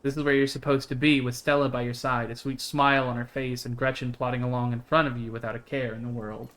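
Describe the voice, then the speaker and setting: A man's voice: mid-range voice, little pitch movement, fast, fairly bright tone. A man reading a visual novel aloud on a live stream, dropping into casual, sweary asides. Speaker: game streamer. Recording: music behind the voice.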